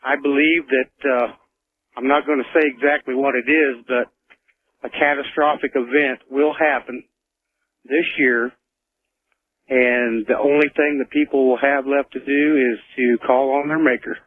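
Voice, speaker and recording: Flat-voiced man on noisy phone. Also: medium pitch, measured pace. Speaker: radio show caller. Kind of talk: phone-in prediction, plain talk. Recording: noisy, clicking narrow phone line.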